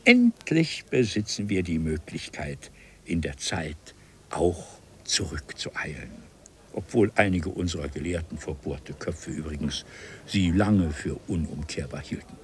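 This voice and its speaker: An actor playing a learned, self-assured traveller from another era in a radio play, speaking in a formal, declamatory manner. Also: male voice, extremely low pitch, expressive, a little slow. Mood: proud, formal. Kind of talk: German radio play acting. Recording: 1970s radio play with noise.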